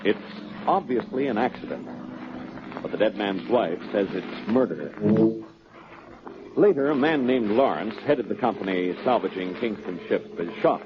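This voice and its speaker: A man's voice: fairly low pitch, lively intonation, moderate pace, noisy background. A man narrating as a 1940s radio drama announcer in a formal, dramatic manner. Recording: muffled old radio recording. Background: music.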